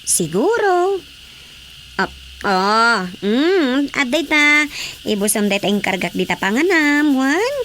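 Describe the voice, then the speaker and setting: A simple, easygoing village woman in an Ilocano-accented radio comedy. She speaks high and slowly, with a very lively rise and fall and many questioning interjections. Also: slight background noise, heavily acted. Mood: curious, chatty. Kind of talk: Filipino radio drama comedy acting.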